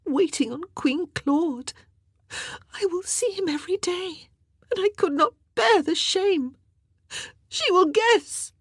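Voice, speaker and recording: Weeping young lady-in-waiting, high pitch, gasping, halting, ashamed, audiobook drama. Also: animated, no room sound, clean studio sound, heavily acted. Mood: despairing. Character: distraught young maid of honor, tearful and ashamed. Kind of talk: audiobook character acting.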